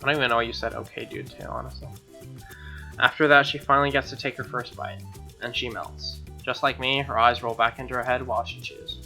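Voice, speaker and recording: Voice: medium pitch, little pitch movement, brisk, sharp tone. A streamer reading a visual novel aloud and breaking off into casual, slangy, opinionated commentary. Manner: slangy, exclaiming, opinionated asides. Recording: music behind the voice.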